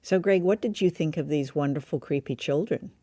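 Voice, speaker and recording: Female co-host, mellow tone, dry studio sound, informative but casual chat. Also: sits low, a little fast, no room sound. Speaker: co-host of an old-time radio review show.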